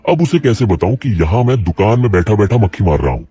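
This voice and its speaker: A poor village potter in a cartoon story, voiced by a man. His voice is very low, dark and husky, and he talks in a worried, plain-spoken way. Faint music runs underneath. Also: sing-song, quick pace. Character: poor struggling middle-aged male potter.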